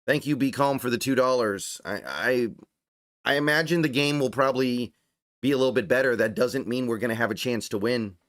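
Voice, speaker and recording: Male livestream sports host, mid-range voice going slightly hoarse, conversational and off the cuff, dry close sound. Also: medium pitch, fairly quick, a bit bright, dry recording. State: voice getting strained, starting to go hoarse. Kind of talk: casual fan commentary, reading and answering viewer chat.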